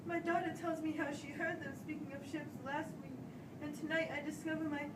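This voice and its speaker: Woman playing an anxious Puritan minister, echoey and high-pitched. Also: little pitch movement, brisk, natural voice. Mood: nervous, hesitant. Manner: stammering, pleading, deferential. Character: anxious, distraught Puritan minister, a grown man. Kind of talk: amateur stage-play acting. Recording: echoey room, far mic, hissy noise.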